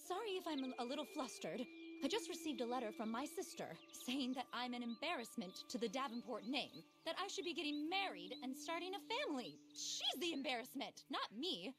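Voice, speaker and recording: A woman's voice: sits high, sing-song, fairly quick. An actress playing an eccentric, easily flustered lady naturalist in a video game, speaking in a genteel, fervent, theatrical way. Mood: flustered, defiant. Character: earnest, flustered, eccentric lady naturalist who adores animals. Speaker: character in a video game cutscene. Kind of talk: dramatic game voice acting.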